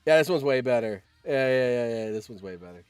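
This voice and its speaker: A man's voice: medium pitch, lively intonation, fairly quick, a little mellow, clear, resonant tone, no echo, faint music behind. A man hosting a livestream, chatting casually with viewers and thinking aloud, often repeating his phrases. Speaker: livestream host.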